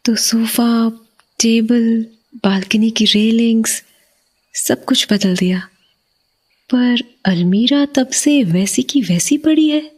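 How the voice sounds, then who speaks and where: A woman playing an uneasy, suspicious young wife in a horror audio drama, hesitant and hushed, lingering on words in a breathy voice. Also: on the high side, slow. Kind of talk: Hindi horror audio drama, acted dialogue.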